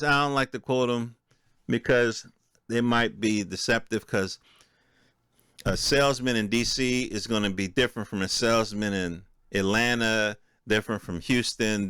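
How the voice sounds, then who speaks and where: A man's voice: fairly low pitch, gravelly, fairly bright tone, clear, resonant tone, dry recording, clean studio sound. A middle-aged American man hosting a podcast solo, giving informal commentary with offhand asides and checking in with listeners. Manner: conversational, checks in with listeners using rhetorical questions. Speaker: podcast host.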